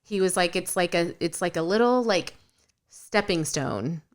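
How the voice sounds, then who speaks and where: A woman's voice: on the low side, animated, measured pace. A woman in her late thirties co-hosting a podcast, chatting casually, swearing freely and retelling stories with animation. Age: late 30s. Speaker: podcast co-host. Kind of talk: casual chat.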